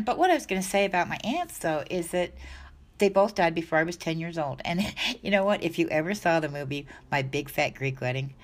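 A woman's voice: deep voice, a bit bright. A woman in her sixties talking casually to camera about dog health, chatty and rambling, often restarting her sentences. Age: 60s. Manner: chatty, rambling, self-correcting.

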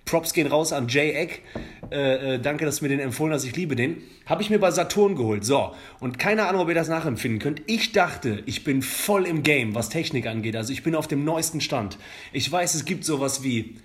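A man's voice: a little high, expressive, brisk. A man in his thirties telling stories in a casual chat and poking fun at himself. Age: 30s. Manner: storytelling, self-mocking. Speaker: podcast co-host.

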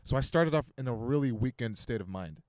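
A young man with a deep voice, guesting on a casual podcast and telling stories with plenty of swearing. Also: brisk, dry recording, clean studio sound. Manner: animated storytelling, heavy swearing. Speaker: podcast guest and stand-up comic. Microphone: handheld microphone.

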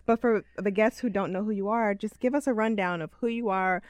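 A woman's voice: mid-range voice, fairly quick, no room sound. A woman hosting a podcast, friendly and chatty, laughing easily as she asks questions in a casual interview. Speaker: podcast host.

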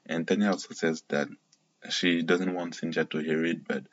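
A man's voice: low-pitched, fairly even intonation, normal speed, highs cut off. A man from Cameroon casually recapping a reality TV episode, rambling and opinionated, often correcting himself mid-sentence.

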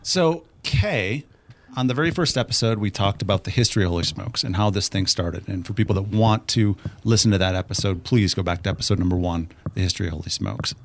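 A man's voice: on the low side, quick pace. A man hosting a podcast, opening the show and asking his guest questions in an upbeat, conversational way. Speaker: podcast host. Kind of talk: podcast interview.

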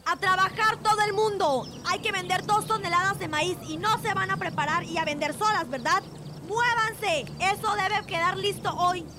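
A woman's voice: very high voice, normal speed, noisy background. A woman acting a haughty, imperious Mexican landowner who looks down on her workers, in an amateur radio drama. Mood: barking orders. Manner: imperious, scolding. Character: haughty, harsh female landowner boss, contemptuous of workers.

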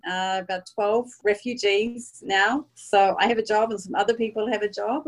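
A middle-aged woman with a New Zealand accent, talking casually as an interview guest. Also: mid-range voice. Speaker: interview guest, small business owner. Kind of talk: casual conversational storytelling.

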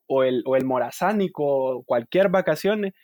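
A man's voice: mid-range voice, expressive, normal speed, darkish tone, no room sound, studio quality. A man with a Honduran accent telling anecdotes animatedly, acting out little quotes. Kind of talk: casual group chat.

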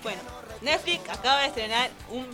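Nervous high-voiced young Argentine woman. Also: animated, brisk. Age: young adult. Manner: nervous, flustered, self-correcting. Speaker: film and series columnist on youth radio. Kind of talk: informal radio column with banter. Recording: music playing behind the voice. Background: music.

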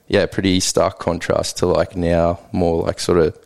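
A man's voice: sits low, measured pace, vocal fry. A man in his thirties from South Africa, native Afrikaans speaker, talking casually and rambling as a podcast guest. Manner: relaxed, rambling, heavy on fillers like "like" and "sorta". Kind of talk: casual conversational interview.

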